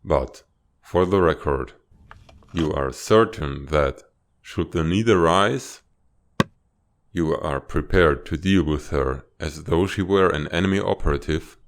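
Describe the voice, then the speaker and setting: Man voicing a very deep, formal reptilian spymaster. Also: exaggerated voice. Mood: stern, measured. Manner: formal, measured, authoritative. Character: stern, formal reptilian spymaster, male superior officer. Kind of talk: dramatic fan-dub comic acting.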